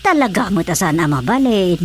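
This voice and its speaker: A woman's voice: fairly low pitch, very animated, fairly quick, soft background music. An actress with a Filipino accent playing an insistent, nagging village woman in a radio comedy drama.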